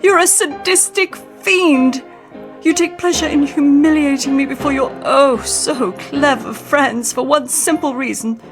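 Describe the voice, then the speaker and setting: An actress playing a repressed, long-suffering wife with formal diction in an emotional old-time radio drama style. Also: female voice, high-pitched, measured pace, over music. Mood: bitter outburst.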